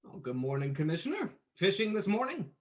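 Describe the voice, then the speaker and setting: A man's voice: high voice, very animated, brisk. A man playing a breezy, wisecracking amateur sleuth in an old-time radio reading, with dull lo-fi audio. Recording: dull lo-fi live stream audio.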